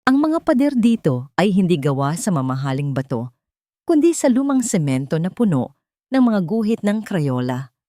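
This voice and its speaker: A woman's voice: fairly low pitch, very animated, moderate pace, mellow, dry recording, clean studio sound. A woman with a Filipino accent reading a dramatic love story as a scripted narration.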